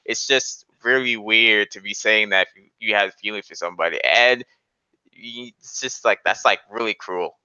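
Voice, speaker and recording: A man's voice: fairly low pitch, normal speed, pushed and bright, clean tone, no echo, highs cut off. A young man in casual banter, talking in a slangy, argumentative and boastful way.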